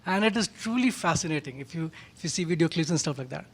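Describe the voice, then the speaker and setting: Audience questioner, male, higher pitch, halting delivery full of "uh" fillers. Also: expressive. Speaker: audience member asking a question.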